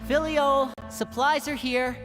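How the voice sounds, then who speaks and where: A woman voicing a composed, protective young female agent in a video game, polite and measured as she explains. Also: high voice, fairly even intonation, over music.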